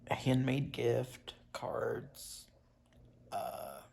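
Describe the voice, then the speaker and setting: A congested man chatting casually to his viewers in a vlog, halting with many fillers and restarts. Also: mid-range voice, sing-song, creaky, a little mellow, in a whisper. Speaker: vlogger.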